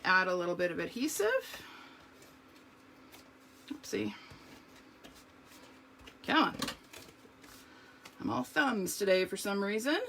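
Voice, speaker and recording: A woman showing card-making step by step in a live video, chatty and talking to her viewers, her voice slightly hoarse. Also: fairly high pitch, sharp tone. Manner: chatty, explains each step, talks to viewers. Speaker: craft demonstrator.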